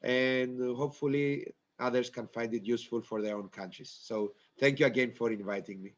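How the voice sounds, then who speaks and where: A man with a stuffy, blocked-up voice explains his points on a panel, pausing often and restarting his sentences. His voice sits in an ordinary middle pitch. The sound comes through a dull, lo-fi video call. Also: steady tone. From Cyprus. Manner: halting, many fillers and restarts, plain explaining. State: stuffy nose. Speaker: panel speaker. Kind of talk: conference panel presentation.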